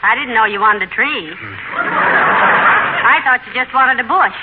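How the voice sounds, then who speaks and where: An actress playing a dry, teasing, down-to-earth wife in a 1940s radio comedy. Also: female voice, a little high, measured pace, lightly acted. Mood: wry, teasing. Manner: wry, gently scolding. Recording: muffled, noisy 1940s radio recording.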